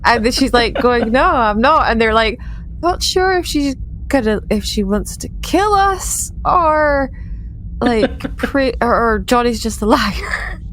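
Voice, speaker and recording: A woman chatting casually as a player in a roleplaying game, describing her character in an informal, halting way. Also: a little high, expressive, a bit bright, a little hiss or hum, poor audio.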